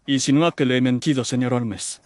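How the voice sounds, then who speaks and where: A man's voice: mid-range voice, steady tone, fairly quick, a little mellow, no room sound, natural voice. A man playing an anxious, humble insurance clerk, deferential yet defensive, in a Victorian audio drama. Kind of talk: Spanish audio drama mystery acting.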